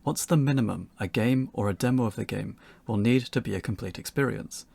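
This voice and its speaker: A man presents a scripted lesson for beginners. His voice is husky and full of creak, and it is captured very dry and close, with no room sound. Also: on the low side, fairly quick, darkish tone, dry recording. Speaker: presenter of a beginners' tutorial talk. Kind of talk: scripted instructional narration.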